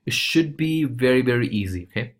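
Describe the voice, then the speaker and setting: A man presenting a casual software walkthrough, talking informally and loosely with frequent short check-in tags. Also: medium pitch, lively intonation, a little fast.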